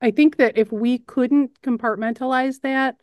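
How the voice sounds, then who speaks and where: A woman's voice: high-pitched, fairly even intonation, measured pace, a little mellow, dry recording, studio quality. A middle-aged woman from the Chicago suburbs with a Chicago accent, chatting on camera about her work as a news editor and narrator in a friendly, matter-of-fact way. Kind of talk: casual on-camera chat.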